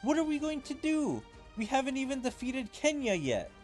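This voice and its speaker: A man voicing earnest, excitable young anime game characters in an emphatic, dramatic amateur read-aloud. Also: very high-pitched, moderate pace, faint music behind, pitched above the performer's own voice. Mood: pleading.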